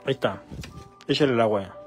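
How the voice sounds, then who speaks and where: A man's voice: medium pitch, fast, breathy edge, soft background music. A man hosting a casual livestream, chatting in short coaxing questions and instructions. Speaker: livestream host. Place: small house kitchen and living room, indoors. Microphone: handheld phone. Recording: noisy handheld phone in a kitchen.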